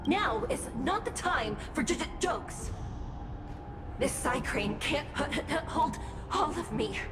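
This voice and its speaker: A woman voicing a young woman's soul inside a small mechanical crane, speaking haltingly with stammers in a video game. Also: high voice, big pitch swings, music in the background, full character voice. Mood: strained, halting.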